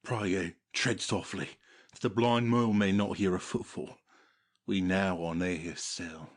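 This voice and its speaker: Guy doing a raspy scheming monster slave, bit low, urgent, grovelling, shakespeare play. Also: fairly even intonation, measured pace. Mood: fawning, furtive. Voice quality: husky, rough. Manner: urgent, conspiratorial. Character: brutish monster slave, fawning yet murderous. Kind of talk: dramatic Shakespearean audiobook reading.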